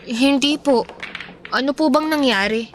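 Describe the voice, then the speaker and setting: A woman's voice: high-pitched, unhurried, slight background noise. A woman with a Filipino accent playing an aggrieved, pouty but respectful teenage daughter in a radio drama. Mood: curious. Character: aggrieved teenage daughter about to turn eighteen.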